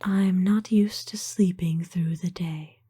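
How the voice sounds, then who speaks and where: A woman acts out a doting, noble lady vampire in an ASMR roleplay. Her voice is a slow, breathy whisper, soft and mellow, with gentle, courtly phrasing that soothes. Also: lowish voice, fairly even intonation, exaggerated voice. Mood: tender, soothing. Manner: gentle, formal old-fashioned phrasing, soothing. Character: kind, doting, aristocratic female vampire owner.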